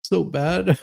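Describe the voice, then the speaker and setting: A man's voice: high voice, creaky, warm tone. A man hosting an online dramatic reading, commenting with sarcastic side remarks and a readiness to break into laughter. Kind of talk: mocking dramatic reading with asides.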